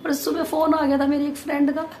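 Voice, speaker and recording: A woman vlogger chatting casually to viewers, her voice hoarse and nearly gone, pushing hard to get the words out. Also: high voice, flat delivery, fast talker, a little mellow, slight background noise. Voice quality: strained, forced voice.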